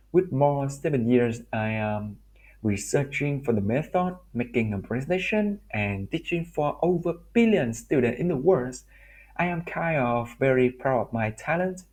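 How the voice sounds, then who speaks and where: A man from Vietnam, a native Vietnamese speaker with a Vietnamese accent, giving a recorded slide presentation as a language teacher in halting, broken English with frequent restarts. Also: mid-range voice.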